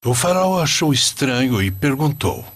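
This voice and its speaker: A man narrating a scripted religious story for a cartoon in a solemn, deliberate manner. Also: medium pitch, very animated, no echo.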